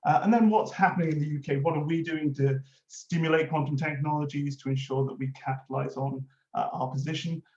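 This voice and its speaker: Welsh man, conference presenter, even flat delivery, mellow tone, echoey dull recording. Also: a little high, fairly quick, few pauses. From Wales. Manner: explanatory, frequent "uh" fillers. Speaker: conference speaker, innovation lead. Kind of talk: presentation talk with slides. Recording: roomy, dull lo-fi audio.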